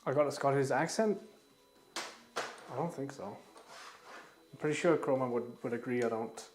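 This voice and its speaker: A man's voice: mid-range voice, a little slow. Male streamer cooking at home, loose rambling chat, echoey kitchen, slight hiss. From Denmark. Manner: rambling, relaxed, swears casually. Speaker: livestreamer cooking. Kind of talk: casual chat while cooking. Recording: echoey room with faint noise.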